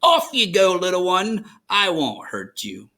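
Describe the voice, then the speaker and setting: Man playing a proud, bright-voiced storybook lion. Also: sits high, huge pitch swings, a little slow, heavily acted. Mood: gentle, condescending. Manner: proud, emphatic. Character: proud young male lion, grown into boastful king. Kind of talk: children's storybook read-aloud.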